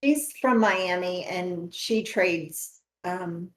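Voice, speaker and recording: A woman's voice: fairly low pitch, extremely slow, low-quality recording. A woman trader chatting casually with a host, laughing easily. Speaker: guest trader. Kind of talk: casual chat.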